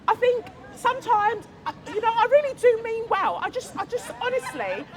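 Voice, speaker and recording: A woman's voice: extremely high pitch, lively intonation, moderate pace, voice pushed up. A woman voicing a well-meaning but clumsy HR manager who keeps excusing herself, chirpy and giggly, recorded outdoors. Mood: self-excusing. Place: outdoor festival stage. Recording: outdoor recording with crowd noise.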